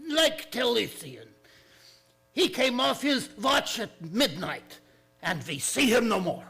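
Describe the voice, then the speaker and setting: Scared, stubborn deckhand, gravelly, strained and extremely high for a man. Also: sing-song, slow pace, sharp tone, soft background music, exaggerated voice. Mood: fearful defiance. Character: frightened, defiant foreign deckhand. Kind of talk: old-time radio play acting.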